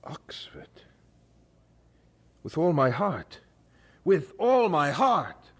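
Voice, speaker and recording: A man's voice: on the high side, big pitch swings, extremely slow, slight background noise, exaggerated voice. An actor playing a troubled, restless young Englishman in an audio drama, earnest and dramatic in delivery. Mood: eager, curious. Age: young adult.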